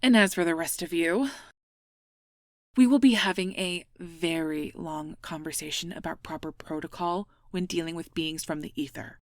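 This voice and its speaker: Actress as stern woman boss, lowish pitch, authoritative, dry wit, audio roleplay, very dry sound. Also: moderate pace, no echo, close to an everyday voice. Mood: stern, composed. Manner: authoritative, dry, clipped orders. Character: stern, exacting female boss of ghost hunters.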